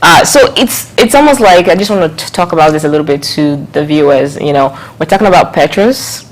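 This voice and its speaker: A woman hosting a TV interview in a conversational way, rephrasing her questions as she goes and offering soft affirmations. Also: sits low, expressive, brisk, rough recording. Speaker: TV interview host.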